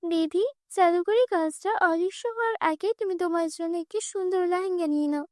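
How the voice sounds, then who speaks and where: A kind, wise cartoon fairy, voiced by a woman with a very high voice, calm and reassuring. The sound is dry and close. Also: fairly quick, no room sound. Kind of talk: cartoon dubbing.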